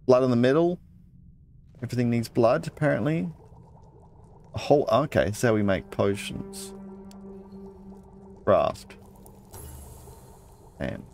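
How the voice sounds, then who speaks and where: A man's voice: mid-range voice, lively intonation, takes it slowly, long pauses, darkish tone, a little hiss or hum. A man playing a video game, casually commenting, reading in-game texts aloud and muttering asides to himself. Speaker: let's play gamer.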